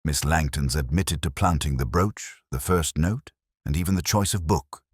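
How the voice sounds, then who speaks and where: A plain-minded, puzzled sergeant from a detective audiobook, voiced by a male narrator. He sounds very low and slightly rough, asking straightforward questions in deference to his boss. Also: moderate pace, dry recording, studio quality.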